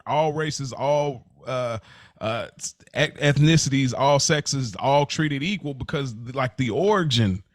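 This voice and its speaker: A man from the United States talking as a podcast host, casual and slangy, thinking aloud in a halting, reflective way. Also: a little high, no room sound, studio quality.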